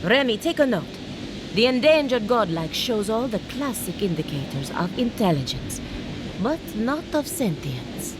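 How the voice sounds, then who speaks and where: A woman's voice: fairly high pitch, very animated, a little slow, music in the background, low-quality recording. An actress playing an eccentric, haughty female scholar in a video game, speaking in an arch, precise and clinical way. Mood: haughty curiosity. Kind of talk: theatrical video game acting.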